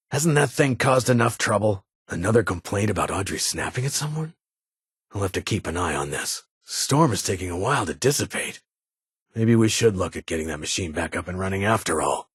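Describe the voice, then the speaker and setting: An actor playing a weary, formal middle-aged military security chief in a video game, speaking in a restrained, dutiful way. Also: male voice, low voice, husky, dry recording, studio quality, full character voice. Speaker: vault chief of security, former army science advisor. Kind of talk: video game character dialogue.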